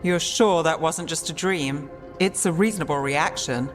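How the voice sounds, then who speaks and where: A woman playing a cool, sceptical detective mage in a fantasy video game, measured, dry and questioning. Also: low-pitched, soft background music, lightly acted. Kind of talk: video game cutscene dialogue.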